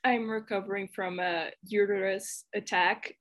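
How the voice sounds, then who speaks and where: A woman from Brazil with a Brazilian Portuguese accent, speaking English as a guest on a podcast and telling stories with quick laughs. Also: mid-range voice. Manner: animated storyteller, quick laughs. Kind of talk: casual chat.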